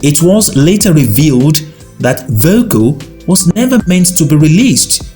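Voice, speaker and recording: A man with a slightly raised pitch reads a scripted tech news report. His delivery is even and informative. Faint music plays underneath. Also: measured pace. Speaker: narrator.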